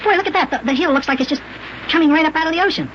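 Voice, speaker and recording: Squeaky sped-up little boy elf, curious and chirpy, muffled old radio show. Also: racing, a bit bright, a little hiss or hum. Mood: curious, amazed. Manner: chirpy, curious, wonderstruck chatter. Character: eager, wide-eyed little boy elf. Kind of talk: old-time children's radio acting.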